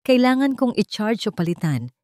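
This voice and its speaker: A woman's voice: a little high, very animated, moderate pace, a little mellow, dry recording, studio quality, pitched above the performer's own voice. A woman narrator voicing a poor male mechanic and single father who talks in a plain, matter-of-fact way.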